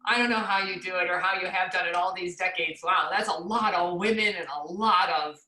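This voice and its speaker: A woman's voice: on the low side, a little fast, sharp tone. A woman hosting a podcast interview, encouraging and enthusiastic toward her guest. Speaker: podcast host. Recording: echoey room.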